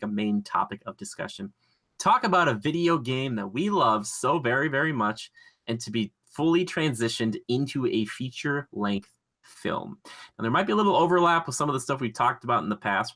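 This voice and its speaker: A man hosting a pop-culture podcast in a casual, rambling, animated way with jokey sarcasm. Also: mid-range voice. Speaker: podcast host.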